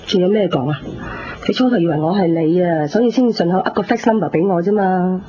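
A woman's voice: on the low side, brisk, music in the background, close to an everyday voice. Muffled Hong Kong Cantonese mother in her forties, actress. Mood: curt, offhand. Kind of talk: 1990s radio drama acting. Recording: muffled radio drama tape.

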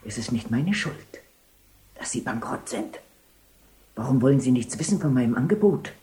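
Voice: mid-range voice, expressive, moderate pace, mellow, natural voice. A performer playing a shrewd, stubborn, money-minded procuress in a radio play, haggling bluntly. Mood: sly, coaxing. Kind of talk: 1970 German radio play acting.

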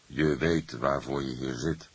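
A man playing a calm, probing old police detective in an audiobook, asking questions in a measured way. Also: extremely low pitch, slightly rough, exaggerated voice. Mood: stern. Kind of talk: acted audiobook dialogue.

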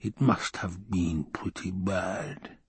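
A man acts out a scheming, tyrannical baron in a dramatised audiobook. The voice rumbles, husky and drawn-out, with imperious contempt in a close, dry recording. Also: expressive, no echo, heavily acted. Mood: scornful musing. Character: menacing, scheming, obese male baron and tyrant.

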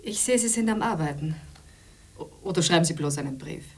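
Talkative female colleague, deep for a woman, wry, complaining, teasing, radio drama. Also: animated, brisk, a little mellow, a little hiss or hum. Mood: wry complaint. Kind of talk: radio play dialogue.

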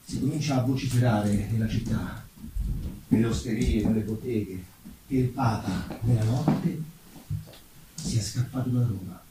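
A man performing a theatrical narrative monologue, telling the story dramatically with deliberate pauses. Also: medium pitch. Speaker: stage actor and narrator. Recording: very echoey room, distant mic, faint noise.

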